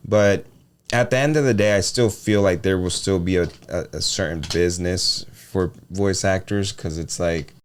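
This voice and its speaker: A man with a deep voice co-hosting a podcast in casual chat, often restarting his sentences. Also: normal speed, a little hiss or hum. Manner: restarts and trails off often, conversational. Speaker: podcast co-host and freelance voiceover artist.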